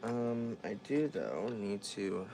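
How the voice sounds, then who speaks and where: A man's voice: fairly low pitch, expressive, slow, mellow, faint music behind. A man chatting casually as he works on a repair, thinking aloud and often trailing off and restarting his sentences. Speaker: vlogger repairing dolls. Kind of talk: casual chat while working.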